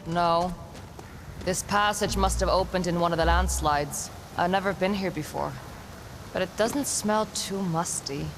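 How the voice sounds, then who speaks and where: An actress playing a tough, guarded young warrior in a video game, blunt and impatient, with a dry, no-nonsense delivery. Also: female voice, lowish voice, measured pace, background noise. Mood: terse, determined. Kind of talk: cinematic video game acting. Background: background music.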